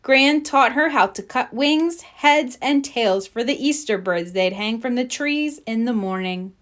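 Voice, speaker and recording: A woman's voice: on the high side, lively intonation, measured pace, fairly bright tone. A woman narrating a scripted children's story as a read-aloud.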